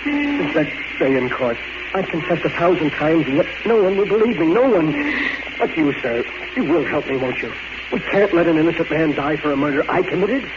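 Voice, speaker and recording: Through a dull, hissy 1940s radio recording comes a middle-aged lawyer, high-voiced and desperate. He pleads with overwrought emphasis, like an anguished father confessing to a crime nobody will believe. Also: big pitch swings, fairly quick. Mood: desperate pleading. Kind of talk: 1940s radio drama acting.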